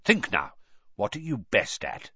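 A man voicing a gruff, shrewd old wizard teacher who growls out blunt advice in an audiobook. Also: on the low side, huge pitch swings, a little slow, pushed and bright, no echo, dull audio, exaggerated voice. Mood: firm, coaching.

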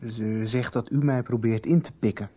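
A young male actor playing a shy, confused schoolboy in a radio soap, halting and reticent in his speech. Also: medium pitch, steady tone, mellow, close to an everyday voice. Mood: troubled, flustered. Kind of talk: Dutch radio soap acting.

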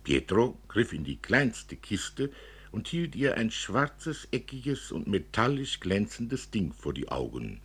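A man reading a literary story as narrator, expressively, with dramatic and deliberate phrasing. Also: lowish voice, big pitch swings, a bit bright, slightly rough. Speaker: narrator, reader.

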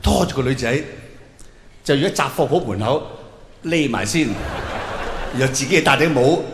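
A man's voice: medium pitch, lively intonation, moderate pace. A man with a Hong Kong Cantonese accent doing a nostalgic stand-up comedy monologue, colloquial, teasing the audience with rhetorical questions. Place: on stage in a large hall. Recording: echoey hall, faint noise, live show.

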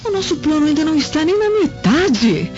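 An actress in a radio drama playing a haughty, scheming noblewoman, sharp, commanding and scornful. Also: high voice, very animated, fairly quick. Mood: indignant. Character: haughty, scheming noblewoman keeping her suitor at bay. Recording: muffled radio drama, music behind.

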